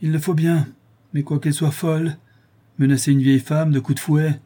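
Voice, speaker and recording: A man's voice: a little high, level tone, moderate pace, mellow, pitched above the performer's own voice, lightly acted. A man voicing a weary, exasperated poor father in a storybook reading, pleading and scolding. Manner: pleading, scolding, raising his voice.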